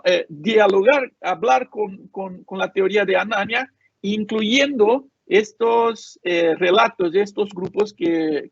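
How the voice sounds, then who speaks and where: Gravelly, husky man lecturing over a call. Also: high voice, no room sound. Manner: halting, many fillers and restarts. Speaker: conference speaker. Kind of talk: academic talk with Q&A. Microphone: video call. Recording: dull lo-fi call audio.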